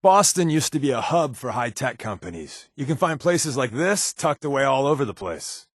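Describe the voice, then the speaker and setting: A sardonic wasteland spy in a video game, close and dry in sound. He jokes in a deadpan way, yet his mid-range pitch moves around a lot. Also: normal speed, no echo. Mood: wry. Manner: dry, deadpan, joking delivery. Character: wisecracking sardonic male spy companion in a wasteland. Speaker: voice actor. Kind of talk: video game companion dialogue.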